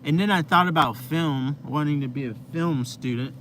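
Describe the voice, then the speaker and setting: A man's voice: a little high, normal speed, a bit bright. A young man hosting a casual live stream from inside his van, chatting with viewers in a laid-back, rambling way with lots of fillers. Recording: noisy vehicle ambience.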